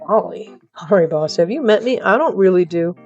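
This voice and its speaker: A woman's voice: fairly low pitch, expressive, fairly quick, darkish tone, dull audio, faint music behind. A woman voicing a sarcastic, cheeky male mail carrier in a comic audio drama. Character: flippant, startled, sarcastic young man mail carrier. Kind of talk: audio fiction character acting.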